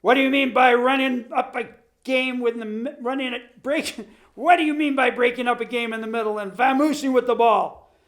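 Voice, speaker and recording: A man's voice: very high-pitched, steady tone, normal speed, a bit bright, pitched above the performer's own voice. A man voicing a blustering football captain who scolds and pleads while out of breath. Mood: angry. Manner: sputtering, scolding, then pleading.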